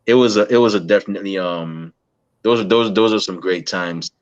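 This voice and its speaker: Very deep-voiced man, muffled lo-fi sound. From Guyana. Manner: halting, frequent restarts and "you know". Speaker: interviewer. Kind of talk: casual interview chat. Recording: dull lo-fi sound.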